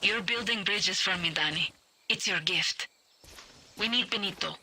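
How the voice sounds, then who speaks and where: A woman voicing a composed rebel leader who gives calm orders and encouragement. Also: mid-range voice, measured pace, slight background noise. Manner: calm, warm encouragement, commanding.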